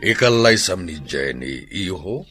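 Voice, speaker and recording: Husky, deep older father. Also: big pitch swings, unhurried, a bit bright, soft background music, exaggerated voice. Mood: firm, approving. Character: older father, firm but approving. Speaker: radio drama actor. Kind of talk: radio drama acting.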